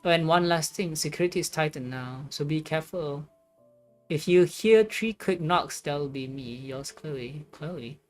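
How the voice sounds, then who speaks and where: A woman livestreaming a game, reading its text aloud and musing on it in a halting way. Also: low voice. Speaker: game streamer. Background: game music.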